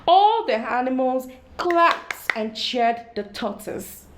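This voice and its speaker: A woman reading a story aloud to children in an expressive storytelling style, addressing young listeners directly. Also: high-pitched, slow.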